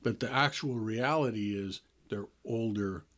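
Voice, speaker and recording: A man's voice: on the low side, unhurried, fairly bright tone. A man in his sixties hosting a health radio show, explaining things in a chatty, easygoing way and laughing now and then. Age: 60s. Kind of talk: casual radio talk.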